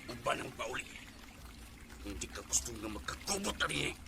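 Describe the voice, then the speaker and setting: A man's voice: medium pitch, very animated, moderate pace, creaky. A man acting a blunt everyday character in a radio drama. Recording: very noisy radio drama with ambience.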